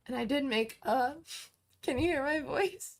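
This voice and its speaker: A woman's voice: high-pitched, slow pace, creaky, a little hiss or hum. A woman in her twenties hosting a casual livestream, chatting upbeat with viewers, full of fillers and restarts. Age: 20s. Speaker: livestream host and online course teacher. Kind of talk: casual livestream chat, answering viewer comments.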